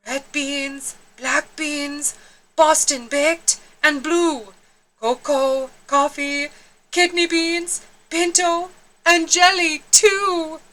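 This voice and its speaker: A woman's voice: high-pitched, fairly even intonation, very slow, bright, forceful tone, voice pushed up, full character voice. A woman voicing a small male dog villager in a storybook, grave and ominous as he spells things out.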